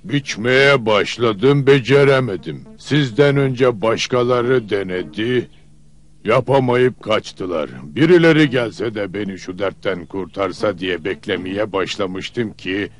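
A man playing a sly man-eating giant father who feigns friendliness in an old cartoon, with theatrical, drawn-out folksy delivery. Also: on the low side, animated. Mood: grateful, lamenting. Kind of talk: old cartoon fairy-tale dubbing.

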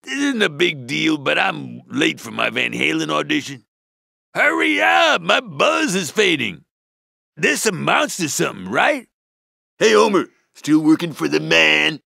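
An actor with an American accent playing a laid-back stoner metalhead school bus driver in a cartoon video game, with a raspy slacker drawl. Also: male voice, fairly high pitch, sing-song, bright, forceful tone, dry recording, clean studio sound, exaggerated voice. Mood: rowdy, hyped. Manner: spaced-out slacker slang, drawn-out words.

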